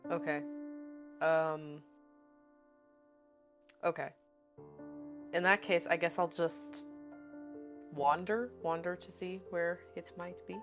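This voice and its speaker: A woman with a slightly low voice talks through her turn in a tabletop roleplaying game. She is informal and a bit rambling, improvising as she goes, with music playing softly behind her. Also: steady tone, takes it slowly, pauses often. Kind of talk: casual improvised roleplay chat.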